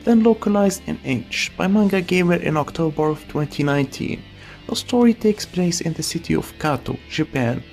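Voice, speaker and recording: Brief: opinionated voice-over narrator, animated delivery, some fry, soft music underneath. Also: medium pitch. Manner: opinionated, wry asides. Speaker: video essay narrator. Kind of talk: scripted voice-over commentary.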